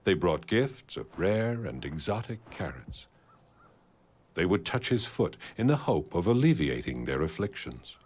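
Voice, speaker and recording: Low-voiced deadpan man narrating, lively intonation. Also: unhurried, slightly rough. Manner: deadpan, wry storyteller. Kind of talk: scripted storybook narration for an animated short. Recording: music behind the voice. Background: music.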